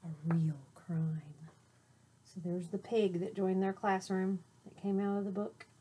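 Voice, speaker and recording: A woman's voice: mid-range voice, fairly even intonation, unhurried, dark tone, husky. A woman reading a children's picture book aloud like a teacher, with dramatic delivery and chatty asides to young listeners.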